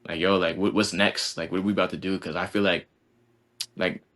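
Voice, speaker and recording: Low-voiced young man rambling casually. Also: steady tone, brisk, fairly bright tone. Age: 20s. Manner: rambling, heavy use of "like", earnest. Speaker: college basketball player, guest. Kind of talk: casual podcast conversation.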